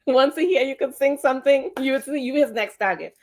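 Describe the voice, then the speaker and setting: A woman hosting an online talk radio show, telling stories in a lively way and now and then singing lines of her own song. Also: high-pitched, moderate pace. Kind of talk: casual radio chat with sung song excerpts.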